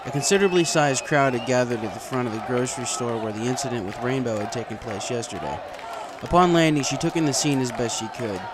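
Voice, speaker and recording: A man's voice: medium pitch, fast, over a noisy crowd, very noisy. A man reading a story aloud as scripted narration. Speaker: story reader. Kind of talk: scripted narration of fan fiction.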